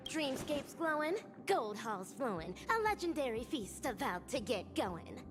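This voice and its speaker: A woman's voice: high voice, sing-song, measured pace. Grandiose rhyming ninja girl. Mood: reciting. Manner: grandiose, recites in rhyme. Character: aloof young female ninja, dramatic and rhyme-spouting. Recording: game audio with loud background music.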